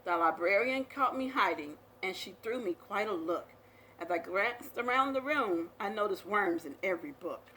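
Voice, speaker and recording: Bright, animated woman reading a storybook. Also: medium pitch, normal speed, slight background noise, rough recording. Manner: animated, dramatic storytelling delivery. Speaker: storyteller reading aloud to young children. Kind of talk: expressive children's picture book read-aloud.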